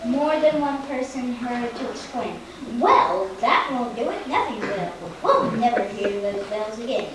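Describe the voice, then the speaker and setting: A woman narrating a scripted story aloud as a storyteller at a church puppet show, in an earnest, deliberate delivery. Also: on the high side, lively intonation. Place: large echoey church hall, recorded from afar. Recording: very echoey, distant amateur recording, loud noise.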